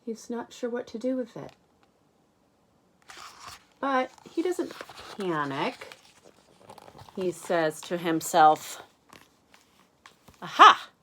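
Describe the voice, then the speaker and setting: Lady reading a kids book out loud, slow and super expressive, chats to the listeners as she goes. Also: medium pitch, a little hiss or hum. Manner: expressive, with questions and exclamations to the listener. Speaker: read-aloud storyteller. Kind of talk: reading a picture book aloud with chatty asides to young listeners.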